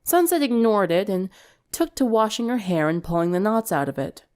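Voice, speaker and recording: Expressive woman narrator with lively pitch. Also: moderate pace, clear, resonant tone. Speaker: story narrator. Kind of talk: expressive fan fiction reading, scripted narration.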